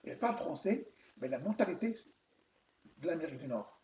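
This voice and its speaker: Man from France, animated vlog advice, thin phone-like sound in an echoey room. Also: a little high, moderate pace, slight background noise. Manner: animated, repeats himself and restarts often. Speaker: vlogger giving advice on moving abroad. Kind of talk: casual advice talk.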